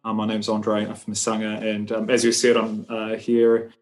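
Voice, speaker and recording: A man from New Zealand, a guest in a remote interview, speaking thoughtfully with frequent pauses and fillers. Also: on the low side, completely flat delivery, a little mellow. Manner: thoughtful, hesitant with many fillers. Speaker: guest, human rights advocate. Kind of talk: conversational interview.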